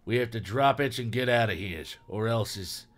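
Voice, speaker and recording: A man's voice: mid-range voice, normal speed, close to an everyday voice. A man voicing a volatile, snarling kidnapper with a knife, in an audiobook-style reading. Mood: angry, accusing. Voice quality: raspy.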